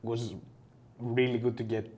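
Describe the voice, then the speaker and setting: A man, chatting in a friendly, relaxed way during an English speaking test. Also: mid-range voice, unhurried, a loud crowd. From Greece. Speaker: graduate student, test candidate. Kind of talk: exam conversation.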